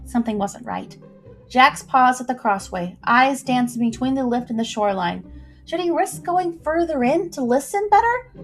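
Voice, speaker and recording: A woman who tells stories and hosts a livestream, speaking in an informal, expressive way straight to her listeners. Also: high voice, moderate pace, faint music behind. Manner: chatty, informal, addresses listeners directly. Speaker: storyteller and livestream host. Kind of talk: expressive story reading and casual livestream chat.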